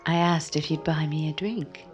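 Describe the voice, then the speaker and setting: A woman's voice: sits low, fairly even intonation, moderate pace, darkish tone, faint music behind, natural voice. A woman acting in 1950s-style radio drama as a worldly, forward single woman at a bar, teasing and direct. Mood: flirty, breezy.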